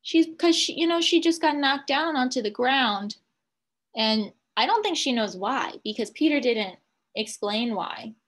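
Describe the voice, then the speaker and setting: A woman teacher reading a picture book aloud to young children, pausing to explain things simply and ask questions. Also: high-pitched, expressive, fairly bright tone. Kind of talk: children's read-aloud with commentary.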